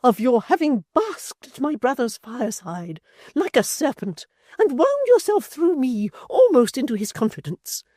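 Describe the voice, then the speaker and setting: Woman voicing a high, shrill, indignant society lady for an audiobook, slow theatrical scorn. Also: very animated, dark tone, no room sound, studio quality, full character voice. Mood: scornful. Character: haughty indignant middle-aged lady scolding her friend. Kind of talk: audiobook character voice.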